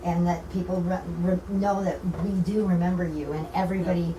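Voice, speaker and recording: A woman's voice: on the low side, fairly even intonation, brisk. Echoey room, off-mic, American woman, earnest, rambling, repetitive phrasing, informal interview. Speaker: community organizer and interview guest. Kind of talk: informal radio interview talk. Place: echoey indoor broadcasting room. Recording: roomy, distant mic with faint noise.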